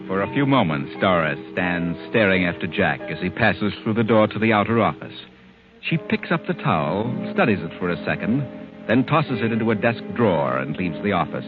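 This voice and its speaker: Commanding radio mystery interrogator, deep and very expressive, narrow old broadcast. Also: male voice. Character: commanding, probing man reconstructing a crime. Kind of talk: 1940s radio mystery narration. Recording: tinny 1940s radio broadcast with music.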